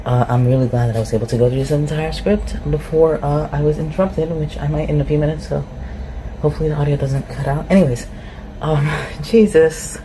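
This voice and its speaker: An ASMR performer chatting casually and unscripted to listeners, with a halting, self-deprecating manner. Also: mid-range voice, steady tone, mellow. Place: at home in an empty house. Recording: clearly noisy home recording.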